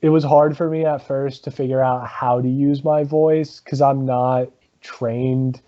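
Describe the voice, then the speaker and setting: Man, low dark voice, slow halting speech, dull lo-fi podcast sound. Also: fairly even intonation. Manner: halting, many ums, laughs often. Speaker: guest, comedy rock band member. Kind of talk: casual interview chat.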